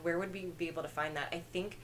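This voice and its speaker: A young woman with a fairly low voice co-hosts a film podcast. She speaks informally and excitably, with a giggly, chatty delivery. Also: fast, crowd noise. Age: young adult. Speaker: podcast co-host. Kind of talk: casual film review chat. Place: bedroom, indoors.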